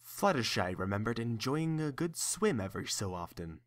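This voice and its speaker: A man's voice: medium pitch, big pitch swings, normal speed. A man narrating a scripted story.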